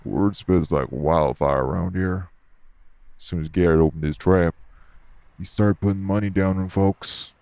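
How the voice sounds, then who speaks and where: A man playing a gruff, blunt, unbothered club worker with streetwise slangy talk in an audio roleplay. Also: deep voice, unhurried, darkish tone, no echo. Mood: casual, teasing. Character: gruff, blunt, unbothered tough guy working at a nightclub. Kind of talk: audio roleplay acting addressed to the listener.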